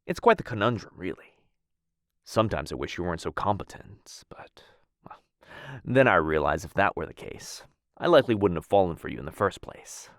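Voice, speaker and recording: A man's voice: sits low, expressive, normal speed, dry recording, studio quality. A man playing a menacing yet lovestruck assassin in a boyfriend ASMR roleplay, speaking intimately and teasingly in formal, old-fashioned phrases. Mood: tender, conflicted. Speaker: voice actor.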